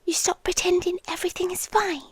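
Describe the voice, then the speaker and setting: A British woman playing an obsessive, possessive girlfriend in an ASMR roleplay, whispering softly and intimately close to the microphone. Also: very high voice, fairly even intonation, dry recording. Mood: creepy tenderness. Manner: slow, breathy, intimate whispering mixed with soft voice. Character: obsessive, possessive yandere girlfriend lurking under the bed. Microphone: close, dry microphone.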